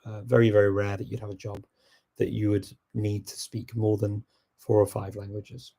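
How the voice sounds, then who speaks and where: Male host, husky and mellow, relaxed chat-style advice to viewers. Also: on the low side, normal speed. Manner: relaxed, reflective advice-giving. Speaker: host answering viewer questions. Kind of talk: casual live Q&A chat.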